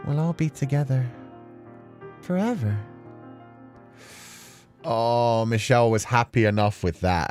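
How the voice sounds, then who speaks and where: A man's voice: medium pitch, a little mellow. A man hosting a let's play of a visual novel, chatting casually and animatedly with lots of fillers. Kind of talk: casual game commentary.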